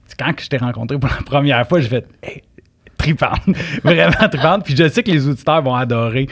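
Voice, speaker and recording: A man with a Québécois French accent, hosting a podcast interview in a chatty, relaxed way, often laughing and cutting in with questions. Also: mid-range voice, a little fast. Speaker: podcast host. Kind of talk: casual interview chat.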